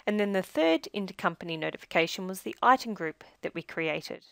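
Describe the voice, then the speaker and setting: A woman with a slightly low voice narrates a software demonstration in a measured, instructional way. Her phrases tend to trail down at the ends. The recording is dry and close. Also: expressive, creaky, dry recording. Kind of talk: scripted tutorial narration.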